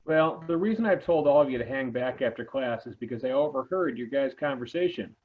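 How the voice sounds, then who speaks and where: A tinny, narrow-band call carries a man playing a male high school teacher. He is exasperated yet game, mid-pitched, in a plain amateur table read. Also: lively intonation, brisk, lightly acted. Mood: confiding. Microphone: video call. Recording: tinny phone-like video call.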